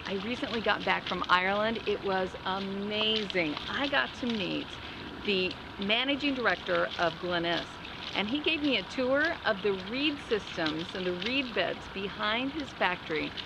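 A woman in her thirties hosting a garden vlog outdoors, talking to the camera with excited exclamations. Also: medium pitch, moderate pace. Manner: enthusiastic, exclamatory. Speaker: vlog host. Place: outdoor garden beside a house. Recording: outdoor camera audio with clear background noise.

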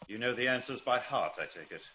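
An actor playing a keen, commanding detective, probing and theatrical, in a classic radio drama. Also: male voice, mid-range voice, expressive, a little fast, bright, forceful tone. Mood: inquisitive. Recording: noisy old radio recording.

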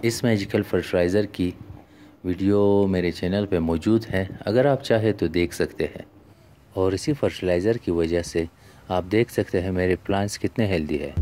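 A man's voice: low-pitched, darkish tone, slight background noise. A man, narrating a home gardening vlog as its host in a scripted voice-over.

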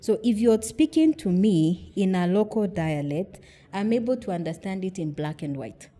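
A woman's voice: mid-range voice, expressive, moderate pace, mellow. A middle-aged woman from Kenya, a podcast guest, explaining earnestly with examples and rhetorical questions. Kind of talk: conversational interview.

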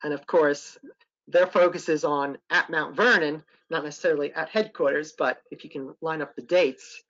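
A man's voice: a little high, fairly even intonation, moderate pace, fairly bright tone, dull audio. A man, a historic site interpreter, presenting and answering questions on a webinar, hesitant, with many ums and uhs.

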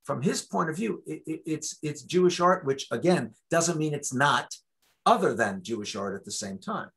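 A man's voice: fairly high pitch, moderate pace, gravelly, breathy edge. Man in his sixties answering on a video call. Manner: discursive, many restarts, animated. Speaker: guest lecturer. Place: home study with bookshelves. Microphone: video call webcam.

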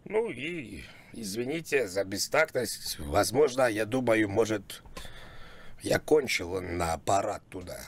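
A man voicing a crude, rambling older customer on a prank phone call, husky, repetitive and mock-apologetic. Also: on the low side, lively intonation, measured pace. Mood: earnest, rambling. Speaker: prank caller.

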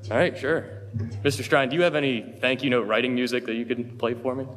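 A man's voice: medium pitch, fairly even intonation, quick pace. Teenage boy joking at a podium in a hall. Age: teens. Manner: dry, joking, lightly self-mocking delivery. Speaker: valedictorian giving graduation speech. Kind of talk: humorous speech at a podium. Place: large school auditorium stage. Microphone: podium microphone, filmed from far. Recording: reverberant hall with soft music.

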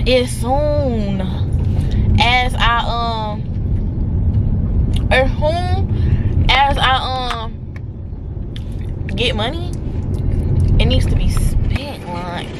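A young woman around twenty chatting casually to camera in a slangy, dramatic way, as a vlogger. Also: high voice. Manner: animated, slangy, dramatic complaining. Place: at home, then inside a car. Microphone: camera at arm's length or propped nearby. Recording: noisy camera audio with car hum.

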